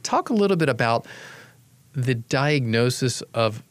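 A man hosting a radio health show, chatty and halting, often restarting his sentences. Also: mid-range voice, lively intonation, dry recording. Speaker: radio host, family doctor.

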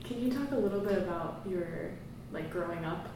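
A woman's voice: on the low side, expressive, moderate pace. A woman interviewer asking curious, friendly questions. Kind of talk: casual interview. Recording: distant, very echoey, noisy room.

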